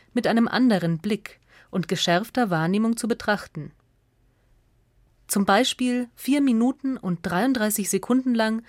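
A woman's voice: mid-range voice, dry recording, clean studio sound. A woman reading a scripted radio essay as narrator.

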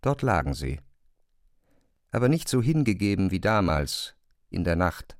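A man's voice: deep voice, sing-song, measured pace, no room sound. A man narrating a literary novel as a scripted reading, close to a studio microphone. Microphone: close, dry studio microphone.

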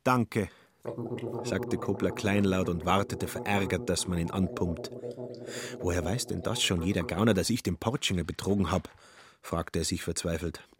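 An actor playing a wary, irritable small-time swindler in a radio play, with a grudging manner. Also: male voice, sits low, moderate pace, crowd noise, lightly acted. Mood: irritated. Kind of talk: German radio play acting.

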